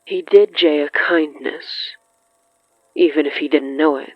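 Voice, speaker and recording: A narrator reading scripted dramatic horror fiction in a measured, deliberate way with dramatic pauses. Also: mid-range voice, fairly even intonation, no echo, narrow, tinny audio. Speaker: narrator of an audio fiction podcast. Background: faint music.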